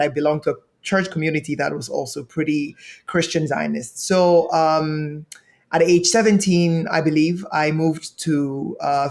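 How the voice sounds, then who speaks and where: A young man from Ghana, a podcast guest, telling his life story in an earnest, measured way. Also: a little high.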